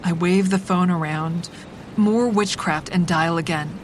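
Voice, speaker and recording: A woman's voice: a little slow, close to an everyday voice. A woman playing a cool, calculating narrator who tells her own story in a wry, controlled way in an audio drama. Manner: wry, controlled, matter-of-fact. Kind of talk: scripted first-person drama narration. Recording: faint traffic rumble behind.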